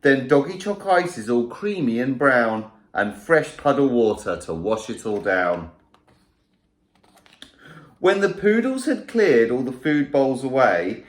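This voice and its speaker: A male primary school teacher reading a rhyming picture book aloud to children in a playful, lively way. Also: mid-range voice, roomy sound.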